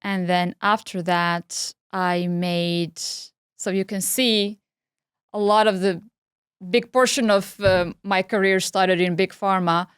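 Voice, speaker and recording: Articulate middle-aged woman, smooth voice. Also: medium pitch, steady tone, slow, dry recording, studio quality. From Algeria. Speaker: guest, pharma regulatory head. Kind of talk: interview conversation.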